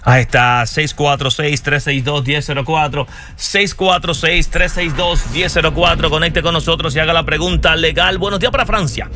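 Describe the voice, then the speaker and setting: A man hosting a radio call-in show, lively, greeting callers and chanting the phone number. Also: a little high, normal speed, soft background music. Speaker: radio host.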